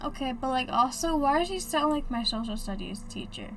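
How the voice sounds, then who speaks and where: A woman's voice: sits high, animated, brisk, a bit bright, a little hiss or hum. A woman giving casual, hesitant commentary as she plays through a game and reads some dialogue aloud. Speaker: gaming video creator.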